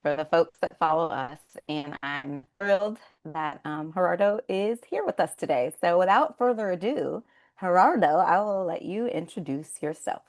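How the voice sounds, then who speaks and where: Woman, webinar host, enthusiastic, low pitch, dry recording. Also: lively intonation, normal speed, darkish tone, no room sound. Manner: enthusiastic and upbeat. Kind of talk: webinar interview.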